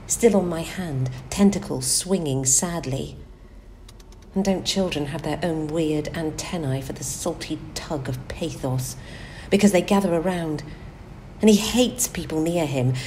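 A woman playing a weary, wry, anxious young boy's mother in an intimate audio-play monologue, confiding and at times dropping into breathy hushed tones. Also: low voice, a little hiss or hum, low-quality recording. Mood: weary, exasperated.

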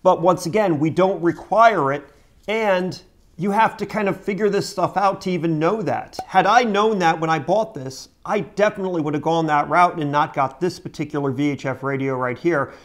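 A man's voice: on the high side, normal speed, slight background noise. A middle-aged American man explaining things to camera as a video host, emphatically and with rhetorical questions, indoors at home.

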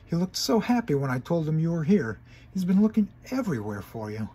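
Male reader playing a caring know-it-all pony girl, higher voice, soft dark tone. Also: big pitch swings, brisk, breathy edge. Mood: earnest. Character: earnest young female pony scholar, scolding yet caring.

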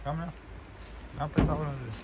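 A man films a walk outdoors and speaks off camera to his young child in short, loud cautioning calls. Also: fairly high pitch, steady tone, normal speed. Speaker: father filming a walking tour vlog. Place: outdoors at a riverside campsite. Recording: noisy outdoor phone recording.

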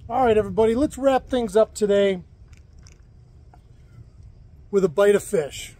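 A man with a fairly high but mellow, warm voice talks in a relaxed, rambling way, full of restarts and fillers. He hosts a fishing show outdoors by a lake and moves easily between chatting and showing how to clean a fish. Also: unhurried, dry recording. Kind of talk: casual outdoor chat and demonstration. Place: lakeside outdoors.

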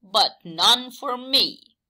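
Lady doing a choosy storybook horse, bright n drawn out, swoopy pitch. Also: exaggerated voice, low-quality recording. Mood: wistful. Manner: exclaiming, drawn-out, expressive delivery. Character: eager, choosy male cartoon horse.

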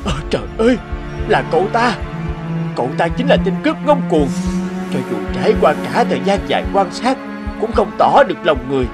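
Guy doing old monk master for a cartoon, distraught, high voice swinging all over, loud music behind. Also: very animated, brisk. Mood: bitter realization. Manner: wailing, theatrical exclamations. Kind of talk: cartoon voice acting.

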